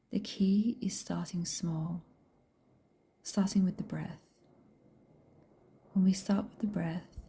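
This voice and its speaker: Woman whispering a guided meditation, super mellow and slow, creaky breathy voice. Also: lowish voice. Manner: gentle, unhurried, measured with long pauses.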